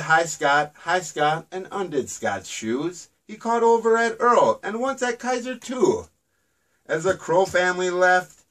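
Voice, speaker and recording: A man's voice: fairly high pitch, fairly bright tone, far from the mic. A man reading his own rhyming children's book aloud in a sing-song storybook manner.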